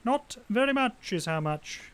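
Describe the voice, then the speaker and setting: An actor playing a poor, self-pitying, lovesick young man in an audio drama, talkative and halting, plaintive, on a noisy recording. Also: extremely high pitch, expressive, a bit bright, smooth, heavily acted. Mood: aggrieved, earnest. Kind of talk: naturalistic audio drama acting.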